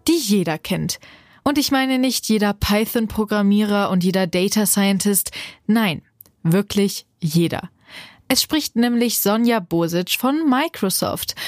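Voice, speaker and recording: A woman's voice: medium pitch, no echo, clean studio sound. A woman podcast host delivering a scripted introduction in German.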